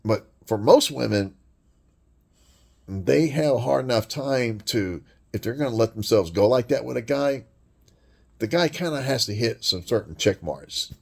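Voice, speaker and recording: A man with a deep voice delivering a blunt, crude, rambling monologue rant full of swearing. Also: expressive, measured pace, creaky, breathy edge. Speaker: vlogger.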